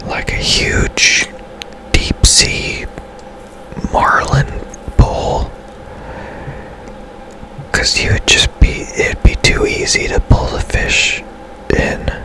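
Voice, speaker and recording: A man's voice: extremely slow, long pauses, sharp tone, soft background music, low-quality recording. A man whispering casual, rambling ASMR commentary over his own gameplay, musing as he goes. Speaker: gaming streamer narrating own gameplay.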